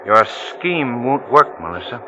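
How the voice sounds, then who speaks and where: A man's voice: medium pitch, sing-song, measured pace, lo-fi sound. A world-weary, ailing husband from a 1940s radio mystery, with a mid-range voice that moves expressively. He is curt, suspicious and bitterly sardonic, on a noisy old recording. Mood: impatient, suspicious. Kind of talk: 1940s radio drama acting.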